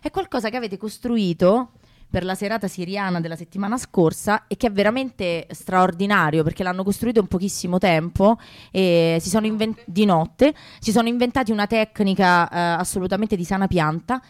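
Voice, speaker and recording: A woman's voice: mid-range voice, a little fast, no room sound. A woman presenting in a conversational, unscripted radio style. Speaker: radio co-host.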